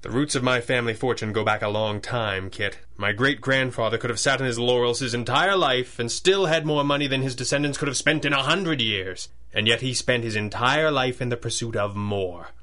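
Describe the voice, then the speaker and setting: A man acting out a brooding masked hero of old radio adventure. His voice is bright and forceful, his speech deliberate and articulate, with the authority of a wealthy boss. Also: medium pitch, natural voice. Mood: brooding, confessional.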